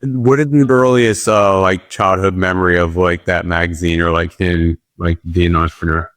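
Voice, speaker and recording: A man's voice: low-pitched, steady tone, normal speed, fairly bright tone, no room sound, clean studio sound. A man hosting a podcast, asking questions with brief reactions and some hesitation. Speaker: podcast host.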